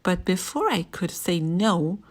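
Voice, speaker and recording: A woman reading a first-person children's story aloud in an expressive, animated storytelling manner. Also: on the low side, measured pace.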